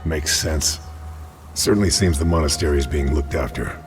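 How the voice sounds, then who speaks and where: An actor playing a gruff, stoic American wartime sniper with a terse, deadpan delivery in a video game. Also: male voice, extremely low pitch, normal speed, soft background music. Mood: guarded, dry. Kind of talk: scripted game narration and dialogue.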